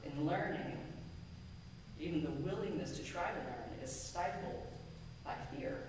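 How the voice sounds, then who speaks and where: A woman minister giving a measured, earnest sermon from a pulpit in a church sanctuary, with a pulpit microphone and a distant camera. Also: deep voice, animated, a little mellow, a little hiss or hum. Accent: American. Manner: measured, deliberate, earnest preaching with emphatic lists. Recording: echoey sanctuary from far off.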